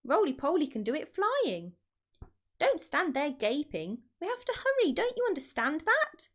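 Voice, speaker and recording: A woman voicing a bossy, excitable father monkey from a children's storybook, snapping urgent orders. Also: high-pitched, normal speed, pitched above the performer's own voice. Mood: frantic urging. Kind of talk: children's storybook read-aloud.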